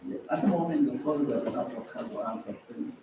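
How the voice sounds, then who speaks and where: A man explaining business matters in a halting way, repeating words, recorded from a distance with heavy echo and noise. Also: fairly high pitch. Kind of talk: spoken explanation at an official visit. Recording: very echoey, distant mic, background noise.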